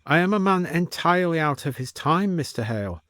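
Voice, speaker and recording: A man voicing a stiff, plaintive Victorian gentleman with pompous, old-fashioned formal phrasing, in a storybook. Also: on the high side, expressive, brisk, no room sound, pitched above the performer's own voice.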